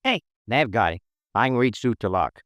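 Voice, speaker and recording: A cocky streetwise driver. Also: male voice, lowish voice, sing-song, normal speed, a bit bright, no room sound. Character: tough, game male getaway driver.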